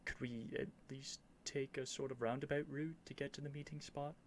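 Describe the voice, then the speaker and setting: A man's voice: mid-range voice, level tone, moderate pace, natural voice. A man playing a young royal prince in a scripted ASMR roleplay, earnest and lovestruck, speaking in formal, courtly phrases with haughty airs and flustered hesitations. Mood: hopeful pleading. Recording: faint background noise.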